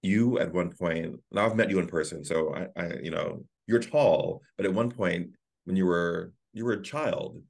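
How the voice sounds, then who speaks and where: A man hosting an interview podcast who asks halting, conversational questions with restarts. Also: low-pitched, creaky, husky. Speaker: podcast host.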